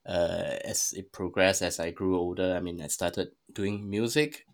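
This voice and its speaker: A man's voice: sits low, steady tone, a little slow. A young man with a Singaporean accent chatting casually as a guest, hesitantly searching for his words. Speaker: podcast guest, musician. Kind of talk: casual conversational storytelling.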